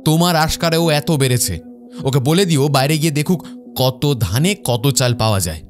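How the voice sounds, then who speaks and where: A man's voice: a little high, animated, normal speed, soft background music, close to an everyday voice. A man playing a weary, easily swayed young husband in a village cartoon, grumbling and a little put-upon. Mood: indignant. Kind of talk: animated cartoon moral-story acting.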